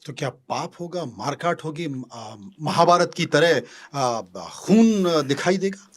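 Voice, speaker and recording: A man's voice: a little high, animated, slow pace. A man hosting a podcast interview, asking probing, pointed questions.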